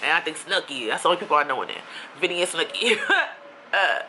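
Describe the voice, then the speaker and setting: A woman's voice: sits low, fast, slight background noise, poor audio. A young woman from New York, ranting casually on her own vlog in a chatty, slangy way. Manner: chatty, animated, with slang and asides. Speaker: YouTuber.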